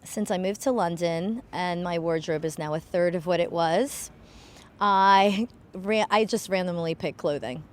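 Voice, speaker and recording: Woman, low-pitched, informal chatty answer, faint city noise. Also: a little slow. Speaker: interviewee. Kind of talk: casual street interview answer.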